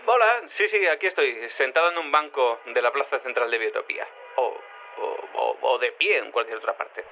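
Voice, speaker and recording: A man's voice: medium pitch, a little fast. An actor playing a confused male cat turned human, hesitant and stammering, rambling as an interviewee in an audio drama over a telephone line. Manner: hesitant, stammering, self-contradicting. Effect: telephone filter. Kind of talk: audio fiction acting as an interviewee. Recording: tinny phone line with noise.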